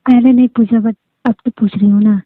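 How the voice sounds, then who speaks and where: A woman's voice: a little high, steady tone, fairly quick, no echo. A woman chatting casually and privately as a caller on a phone call. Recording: dry, narrow tinny phone line.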